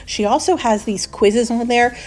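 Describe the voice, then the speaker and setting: A woman's voice: a little high, normal speed. Chatty woman narrating her kitchen cleaning. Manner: chatty, relaxed, with small laughs. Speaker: homemaking vlogger. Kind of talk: voice-over narration of a cleaning vlog.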